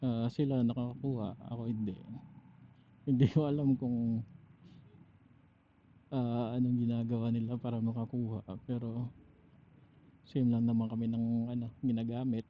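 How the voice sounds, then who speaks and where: A man with a Filipino accent chatting casually on his own in a reflective way, pausing mid-thought, through a motorcycle helmet intercom microphone. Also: mid-range voice, flat delivery. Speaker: motovlogger and angler. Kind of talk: casual, rambling solo vlog chat. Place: outdoors, riding a motorcycle. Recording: dull helmet intercom audio, faint noise.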